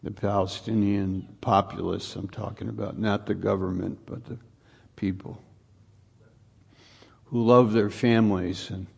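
A male pastor speaking informally to his congregation, halting and repeating words as he goes, often putting questions to them. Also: lowish voice, fairly even intonation, creaky, a little mellow, husky. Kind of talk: informal sermon, welcoming guests to congregation. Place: live church service.

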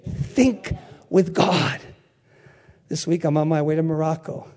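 A man's voice: a little high, fairly even intonation, measured pace, darkish tone. An older man preaching a sermon, repeating key phrases and calling out questions for the congregation to answer. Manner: call-and-response, emphatic repetition.

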